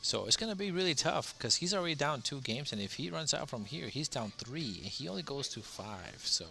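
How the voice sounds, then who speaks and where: A man's voice: mid-range voice, fairly quick, a little hiss or hum. A man doing live play-by-play commentary on a pool match for a stream, talking into a headset mic. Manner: relaxed play-by-play, gravelly.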